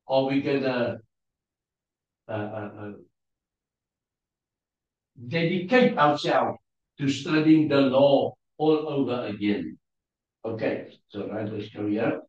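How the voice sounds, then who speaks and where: A man's voice: medium pitch, animated. Afrikaans-accented man preaching in an echoey hall. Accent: South African Afrikaans. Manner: halting, searching for English words with many fillers. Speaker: preacher teaching a Bible class. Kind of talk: Bible class teaching. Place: church hall. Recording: distant, roomy, dull lo-fi sound.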